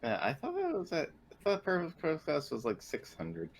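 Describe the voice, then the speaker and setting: A man's voice: on the high side, wildly swooping pitch, normal speed. A man playing a tabletop game, talking casually with the group over voice chat.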